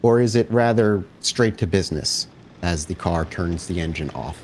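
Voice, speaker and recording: Low-voiced man hosting a tabletop game. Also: moderate pace, darkish tone, slight background noise. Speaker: host and game master. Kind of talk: casual podcast intro and tabletop game narration.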